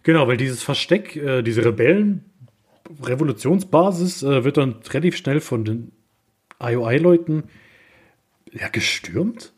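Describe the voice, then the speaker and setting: A man co-hosting a casual film discussion podcast, speaking haltingly as he searches for his words. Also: mid-range voice, expressive, husky. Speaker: podcast co-host.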